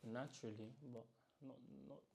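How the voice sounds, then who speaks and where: A young man with a south London accent talks in a laid-back, slangy way. His voice is warm and mellow, and his tone stays flat and even. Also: mid-range voice, normal speed, slight background noise. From south-east London. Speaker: guest. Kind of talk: casual interview answers.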